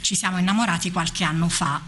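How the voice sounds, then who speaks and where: A woman's voice: lowish voice, steady tone, fast talker, fairly bright tone. A middle-aged woman talking casually as a guest on live radio, at a studio microphone. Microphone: studio microphone with headset.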